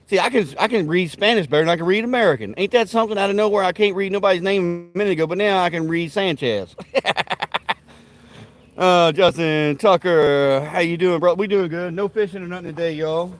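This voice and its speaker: A man with a slightly high voice talks loosely to his live-stream viewers from outdoors at a backyard grill. His banter is folksy and rambling, full of cussing and rowdy trash talk aimed at the chat. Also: brisk. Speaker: livestream host.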